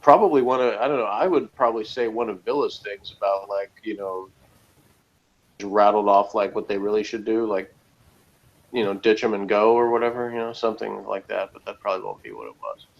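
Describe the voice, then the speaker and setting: A man's voice: fairly low pitch, lively intonation, brisk, vocal fry, dull audio. A man chatting casually as a panelist on a review show, rambling and peppering his talk with "like" and "you know". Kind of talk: casual opinionated chat.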